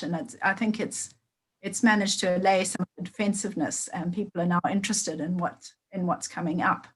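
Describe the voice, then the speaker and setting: A middle-aged woman from Zimbabwe, with her accent clear in every phrase, talks through a video call. Her delivery is careful and measured, full of pauses, ums and small restarts as she works out her thoughts. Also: on the low side, poor audio. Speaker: meeting participant sharing an update. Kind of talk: online group meeting talk.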